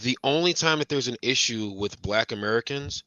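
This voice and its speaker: Bright-voiced man in casual talk. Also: fairly quick, dry recording, highs cut off. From Chicago, raised in Indiana. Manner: animated, rises in pitch when making a point; talks in fragments with restarts. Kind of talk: casual conversation.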